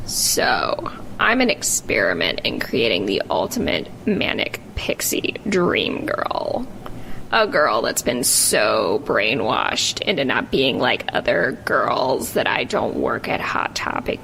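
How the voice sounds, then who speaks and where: A woman voicing a jaded, brainwashed manic pixie mall worker in a deadpan, ironic parody monologue with a creaky, breathy voice. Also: very deep voice, a little hiss or hum, heavily acted, low-quality recording. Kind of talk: scripted parody narration.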